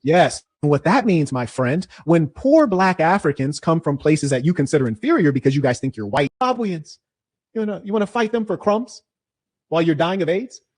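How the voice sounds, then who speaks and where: A man debating hotly, lecturing and combative, his voice dropping low and then rising to shouts. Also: lively intonation, fairly quick. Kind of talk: heated debate.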